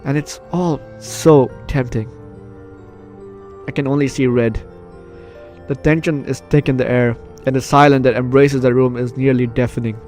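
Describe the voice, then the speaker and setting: Man, mid-pitched voice, casual let's play host, reading story text aloud, game music behind. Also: steady tone, normal speed, darkish tone. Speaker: gaming video host.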